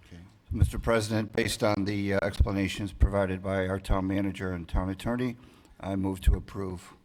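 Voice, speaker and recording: A man's voice: low voice, little pitch movement, brisk, darkish tone. An American man speaking as a council member and commission chair, conducting formal meeting procedure. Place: indoor town council meeting.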